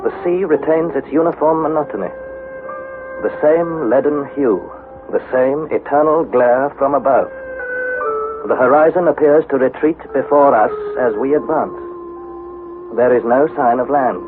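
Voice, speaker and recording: An actor playing an earnest, curious young nephew who tells of an expedition in a vintage radio drama, with a questioning, storytelling manner. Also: male voice, medium pitch, unhurried, music in the background, natural voice. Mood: dramatic narration. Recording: tinny, band-limited vintage radio, faint noise.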